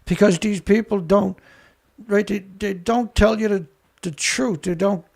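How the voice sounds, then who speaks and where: A man hosting a ranting commentary show, with a rough voice, blunt, angry and swearing. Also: high voice, a little slow, darkish tone. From Canada. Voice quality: rough, gravelly voice. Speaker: show host.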